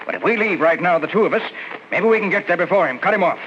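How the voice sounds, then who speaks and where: An actor playing a plain-spoken, urgent small-town police sergeant in an old-time radio horror drama. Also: male voice, high voice, expressive, quick pace, fairly bright tone. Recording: muffled, hissy old radio recording.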